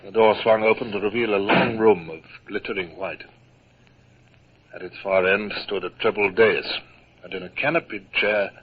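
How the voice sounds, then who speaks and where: A man playing a formal, resolute naval captain who also narrates, in a 1950s radio drama. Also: low voice. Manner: formal, measured, throat-clearing hesitations. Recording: dull, faintly noisy old radio recording.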